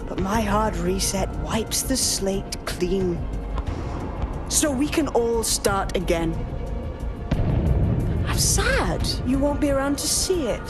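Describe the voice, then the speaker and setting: A woman playing a mocking, bitter hacker villain in a video game, smug, theatrical and deliberate. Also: medium pitch, big pitch swings, over loud music. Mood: cold resolve. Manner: taunting, deliberate.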